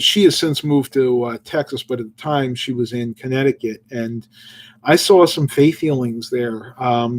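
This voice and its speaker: A man's voice: medium pitch, darkish tone. Man in a casual devotional livestream. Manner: reads Bible verses aloud, then muses loosely with fillers. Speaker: livestream host.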